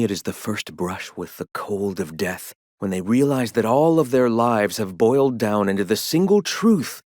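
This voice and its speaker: Man playing a low-voiced, scholarly rebel prophet. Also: no room sound, clean studio sound, natural voice. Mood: solemn, reflective. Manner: preachy, lofty, formal and measured. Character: zealous, scholarly male leader of a philosophical rebel movement. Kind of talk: video game character dialogue.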